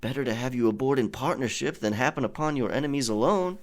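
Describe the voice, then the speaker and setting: A man playing a roguish, gallant pirate captain in an ASMR audio roleplay, with a husky, breathy voice and dramatic, unhurried delivery. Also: medium pitch, natural voice. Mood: persuasive, bold. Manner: slow, dramatic, hushed at times.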